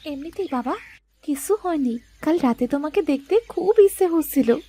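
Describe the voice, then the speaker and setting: A woman's voice: high voice, animated, normal speed, mellow. A woman playing an affectionate young daughter-in-law in a cartoon family drama. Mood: wistful. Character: affectionate young Bengali daughter-in-law, homely, gently complaining wife.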